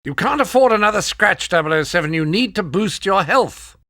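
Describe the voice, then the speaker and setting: An actor playing a fussy gadget master in a video game, prim, nagging and dryly sarcastic. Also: male voice, high voice, animated, normal speed, sharp tone, no room sound, studio quality, heavily acted. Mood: nagging caution. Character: fussy, exasperated male gadget master advising a spy. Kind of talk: scripted video game voice acting.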